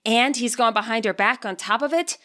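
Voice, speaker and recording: A woman reading expressive scripted narration as the author and narrator of an audiobook. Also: fairly high pitch, a little fast.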